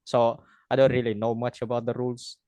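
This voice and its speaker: A man's voice: mid-range voice, flat delivery, fairly quick, mellow, no echo. A young man who is an IT student and new to debating, from Kalimantan, talking casually in a hesitant, self-doubting way and laughing often. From Kalimantan, Indonesia. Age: young adult. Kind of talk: casual chat.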